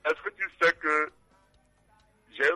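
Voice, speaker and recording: A man's voice: on the high side, slow pace, sharp tone, no echo, faint music behind. A man in his forties calling into a radio show, telling a story with laughter. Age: 40s. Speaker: caller. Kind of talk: phone-in storytelling. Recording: tinny phone line.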